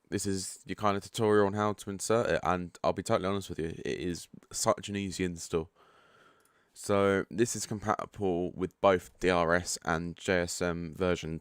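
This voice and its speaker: Man, developer type, deep croaky morning voice, easygoing tutorial delivery, dry close sound. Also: dry recording. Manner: relaxed and chatty, frank asides. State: croaky, just woken up. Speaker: product developer giving a setup tutorial. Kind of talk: casual screen-share walkthrough.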